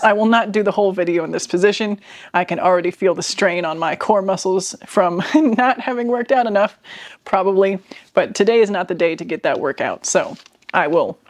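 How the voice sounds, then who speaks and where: Deep-voiced woman telling a story. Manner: thoughtful, soft laughs between phrases. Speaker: vlogger. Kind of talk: reflective casual storytelling to camera. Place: indoors, seated at home. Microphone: microphone near her.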